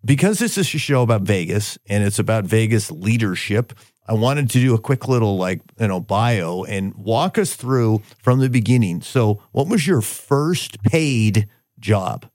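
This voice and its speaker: A man's voice: on the low side, animated, a little slow, a little mellow, no echo, clean studio sound. A man hosting a radio business show, talking conversationally with joking asides.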